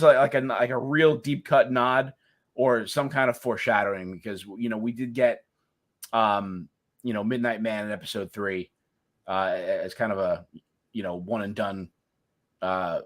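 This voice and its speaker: A middle-aged man co-hosting a casual live chat, talking in a halting way with many restarts. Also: lowish voice, a bit bright. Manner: halting, many restarts and fillers, laughs easily. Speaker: co-host.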